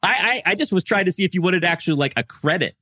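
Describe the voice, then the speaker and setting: A man co-hosting a podcast, upbeat and energetic in casual chat, laughing often. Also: high voice, fast talker, no echo, clean studio sound. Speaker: podcast co-host.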